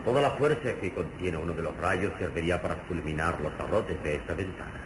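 An actor playing a calm, all-knowing male hero in a vintage radio serial, solemn and deliberate. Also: low-pitched, close to an everyday voice. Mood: solemn, confident. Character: calm, all-knowing heroic male adventurer, mentor to a boy. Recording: noisy old radio serial recording.

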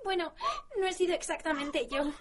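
A woman's voice: high-pitched, fairly quick, heavy background noise, natural voice. A woman playing a sweet, naive teenage schoolgirl in an improvised manga-parody radio comedy, whiny, timid and melodramatic. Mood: shy, flustered.

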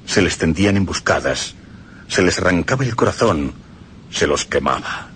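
Male dramatic reader, Spanish accent; low voice, wide-ranging delivery, intense and theatrical. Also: expressive, moderate pace, a bit bright, a little hiss or hum. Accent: Spain Spanish. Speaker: reader of quoted texts. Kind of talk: dramatic radio reading. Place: radio studio.

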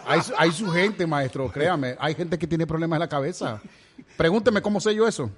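A man's voice: a little high, moderate pace, slight background noise. A man hosting a podcast in Miami, interviewing a guest with joking, teasing banter. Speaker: podcast host. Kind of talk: casual interview chat.

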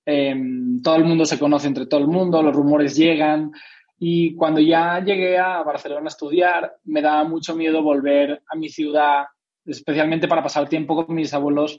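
Dull-sounding young man, higher-pitched voice. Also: a little mellow. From Spain. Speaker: interviewed author. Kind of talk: reflective interview conversation. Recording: dull, lo-fi audio.

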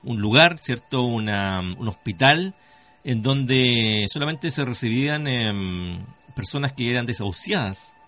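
A man's voice: medium pitch, animated, measured pace, pushed and bright, soft background music. A man with a Chilean accent hosting a conversational radio interview, speaking formally and courteously with some hesitation. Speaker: radio host.

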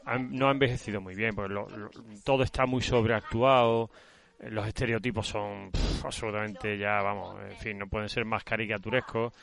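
A man's voice: fairly low pitch, fairly even intonation, moderate pace, vocal fry, fairly bright tone. A man in a casual film discussion, talking emphatically and repeating words to make a point.

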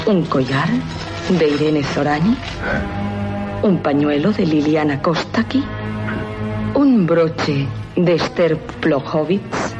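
Slow, menacing higher-pitched man. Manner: slow, deliberate, brooding. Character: morbid, menacing man treasuring dead village girls' keepsakes. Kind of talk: radio drama acting. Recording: dull, band-limited radio drama recording.

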